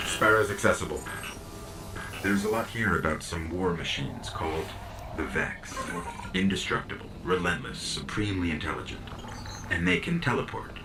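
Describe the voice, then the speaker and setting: A small robot scout from a sci-fi game, inquisitive and wry. The voice sits low and swings widely in pitch, with deadpan asides. Loud music plays behind it. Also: male voice, low-quality recording. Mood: wry, informative. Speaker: guide companion. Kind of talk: cinematic video game voice acting.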